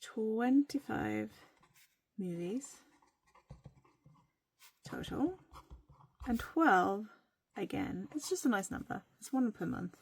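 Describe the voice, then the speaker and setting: A woman from Norway, whose first language is Norwegian, chatting casually in a playful, rambling way that sometimes drops to a soft whisper while she writes in her journal. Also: on the high side, expressive, slow, gravelly, long pauses, husky. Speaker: vlogger.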